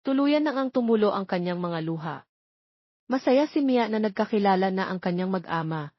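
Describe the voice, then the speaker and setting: A woman's voice: mid-range voice, dry recording, lo-fi sound. A woman narrating a scripted romance story as an audiobook reader.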